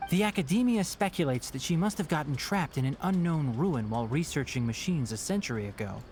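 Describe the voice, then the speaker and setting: Man acting an earnest scholar, lightish expressive voice. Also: fairly high pitch, a little hiss or hum, close to an everyday voice. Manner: measured, explanatory. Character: earnest young male scholar, thoughtful, respectful storyteller. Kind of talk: video game voice acting, storytelling.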